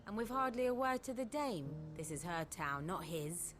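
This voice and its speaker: A woman's voice: medium pitch, lively intonation, moderate pace, fairly bright tone, faint music behind, natural voice. An actress playing a blunt, loyal townswoman in a fantasy game, colloquial and disapproving. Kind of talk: scripted fantasy game acting.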